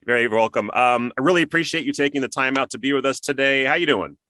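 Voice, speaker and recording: A man's voice: medium pitch, quick pace, bright, forceful tone, no echo. An American man hosting an interview show in a conversational way.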